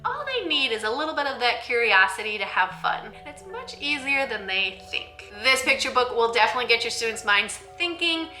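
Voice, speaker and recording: Female book-channel host, enthusiastic and expressive, fairly high voice, faint music behind. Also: fairly quick, hardly any pauses, reverberant. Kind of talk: scripted-from-notes book review vlog addressed to viewers.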